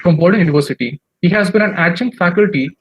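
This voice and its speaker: A conference anchor formally thanking a speaker and introducing the next panel from a script. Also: female voice, fairly low pitch, fast, husky, dull audio. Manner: formal, effusive, reading from a script.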